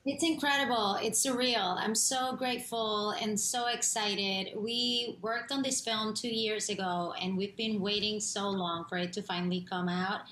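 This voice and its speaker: A woman in her thirties from Puerto Rico, an actress talking excitedly about her film on a video call from home. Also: medium pitch, a little slow, hardly any pauses. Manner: effusive, gushing. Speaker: actress and singer guest. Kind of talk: remote TV interview. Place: home room, indoors. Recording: echoey room, faint hum.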